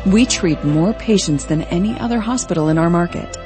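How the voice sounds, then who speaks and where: A woman reading a scripted radio commercial in a calm, serious, reassuring way. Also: lowish voice, brisk, over music. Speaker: commercial announcer.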